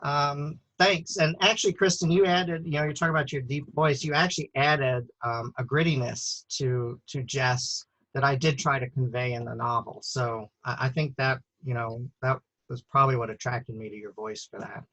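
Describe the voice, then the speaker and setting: A man hosts a casual online premiere, stopping and restarting his sentences often. His voice sits at a middle pitch and sounds close and dry, with no room around it. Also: steady tone, bright, forceful tone, no room sound, low-quality recording. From United States. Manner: halting, many fillers and restarts. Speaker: author and host.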